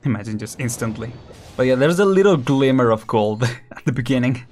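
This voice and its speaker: A man's voice: medium pitch, a little fast, a little mellow, faint music behind. A young man casually commenting while streaming a game, chatty and laughing often, counting down as he plays. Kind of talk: casual live gameplay commentary.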